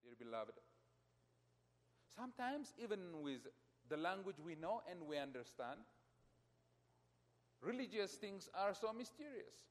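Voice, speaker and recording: A middle-aged man from Ethiopia preaching a sermon as a pastor. He speaks emphatically to his congregation, his loudness surging and falling. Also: high voice, sing-song, a little slow, pauses often. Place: church. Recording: long church reverb.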